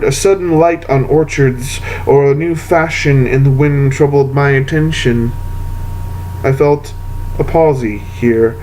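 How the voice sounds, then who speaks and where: A man reading old letters aloud to an audience in a measured, deliberate way, with long pauses. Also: on the high side, darkish tone, slight background noise, rough recording. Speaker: reader of letters.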